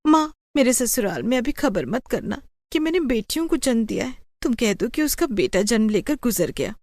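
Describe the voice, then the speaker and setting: A woman's voice: fairly high pitch, very animated, normal speed, darkish tone, dry recording, voice pushed up. A woman voicing an anxious, pleading young married woman in a dramatised moral story. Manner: pleading, fretful.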